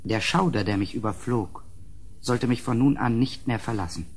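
An actor playing an uneasy gentleman who recounts a gothic horror in an audio drama, in a measured, grave, literary manner. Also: mid-range voice, very noisy, lightly acted. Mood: grave, suspenseful. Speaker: narrator and character.

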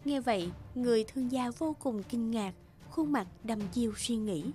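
Woman narrator for a fairy tale: scripted storytelling, somewhat high voice, light music bed. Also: normal speed, mellow.

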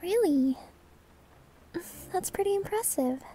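A woman playing a shy, sweet young maid new to the job, hesitant and gentle, in an anime-style ASMR roleplay. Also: animated, slow pace, full character voice, poor audio. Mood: caring. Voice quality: soft, high, girlish. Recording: music behind the voice.

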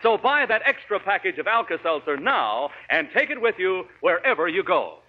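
A man's voice: high voice, very animated, moderate pace. An American man announcing in the style of 1940s radio. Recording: dull 1940s radio recording.

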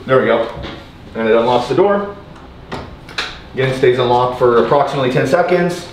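A man's voice: mid-range voice, normal speed. A man in his thirties giving an informal, matter-of-fact, step-by-step walkthrough as an installing contractor. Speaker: installing contractor demonstrating equipment. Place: building entrance, lobby and front office. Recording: noisy on-site recording with clicks.

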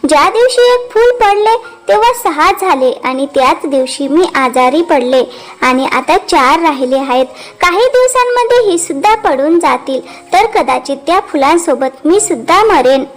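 A woman's voice: high voice, lively intonation, normal speed, faint music behind, low-quality recording. A woman voicing a sick, despairing female sparrow in a children's cartoon, speaking plaintively and fatalistically. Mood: gloomy. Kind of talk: children's cartoon story dialogue.

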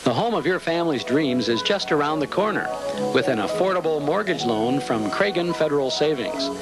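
A man's voice: a little high, expressive, brisk, low-quality recording. A man reading scripted advertisement narration as a commercial announcer. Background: prominent music.

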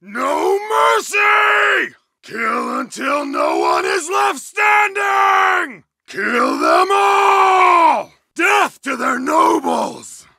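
An actor playing a savage bearded medieval berserker in a game, barking battle commands in a gravelly, raspy roar. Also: male voice, extremely high pitch, wildly swooping pitch, extremely slow, sharp tone, no room sound, raised voice, studio quality, a faint crowd, full character voice. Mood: bloodthirsty roar. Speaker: game soldier character.

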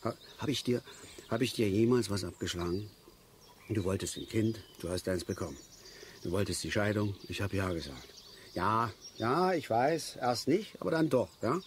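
An actor playing a stubborn, self-pitying middle-aged angler who grumbles and stammers self-righteously in a naturalistic radio play. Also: male voice, fairly low pitch, moderate pace, a little hiss or hum, natural voice. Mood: defensive, aggrieved.

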